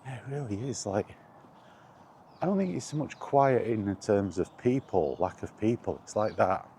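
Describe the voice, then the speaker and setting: A man's voice: on the low side, expressive, moderate pace, mellow, slight background noise. A British man giving a rambling, casual vlog chat in a blunt, opinionated manner. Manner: opinionated, blunt, mildly sweary. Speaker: video blogger.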